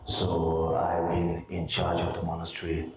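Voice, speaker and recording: Man, low voice, monotone, Tibetan native speaker, halting with fillers, roomy hall, faint hiss. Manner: halting, many pauses and fillers. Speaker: monastery head, Buddhist teacher. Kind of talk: opening remarks before a teaching. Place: monastery hall.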